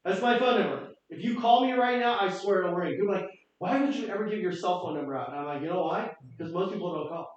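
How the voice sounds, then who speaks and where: A chatty, upbeat man from South Korea talks to a meeting room, his voice sitting high. He is heard from some distance in a very echoey room, and the sound is a little dull. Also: fast, hardly any pauses. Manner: chatty and upbeat, with fillers and repeats. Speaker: guest speaker. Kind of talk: casual talk to a team meeting. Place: office meeting room with Zoom audience.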